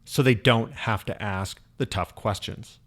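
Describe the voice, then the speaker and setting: Lower-pitched man co-hosting a discussion. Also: unhurried, a bit bright. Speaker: co-host. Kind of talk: conversational podcast-style discussion.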